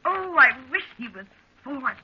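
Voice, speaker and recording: An actress playing a gushing, muddle-headed young sweetheart who dithers, in a 1940s radio drama. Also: female voice, sits high, animated, unhurried. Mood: gushing, excited. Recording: dull old radio recording.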